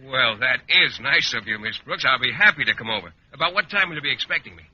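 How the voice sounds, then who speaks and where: An American actor playing a shy, earnest bachelor schoolteacher in a vintage radio comedy, hesitant, polite and a little naive. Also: male voice, medium pitch, animated, fast, sharp tone. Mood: bashful, polite. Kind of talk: 1940s-50s radio comedy acting. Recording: very noisy, muffled old radio recording.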